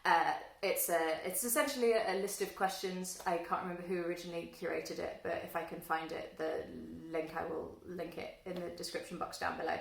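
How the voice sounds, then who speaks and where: A woman's voice: lowish voice, steady tone, brisk, gravelly, hardly any pauses. Wry woman in an echoey room. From London, England. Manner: self-deprecating, wry, many fillers. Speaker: book vlogger. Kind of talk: casual self-introduction to camera. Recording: echoey room, a little far from the mic.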